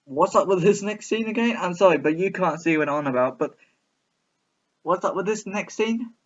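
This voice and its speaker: A man's voice: sits high, animated, normal speed, dull audio. A man giving casual, rambling video commentary in a chatty, repetitive, mock-baffled way. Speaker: reaction video host.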